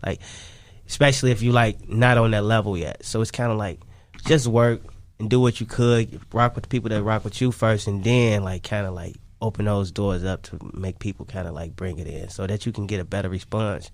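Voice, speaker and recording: A man's voice: fairly low pitch, steady tone, a little fast. A man chatting casually as a music artist guest in a radio interview, easygoing and slangy. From Chicago, USA. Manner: easygoing, slangy, repeats "kinda like".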